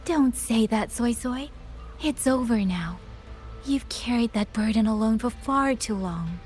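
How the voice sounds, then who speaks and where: A woman playing a gentle, dutiful younger sister in a video game, with an earnest and polite way of talking. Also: on the high side, expressive, measured pace. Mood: gentle concern. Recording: music behind the voice.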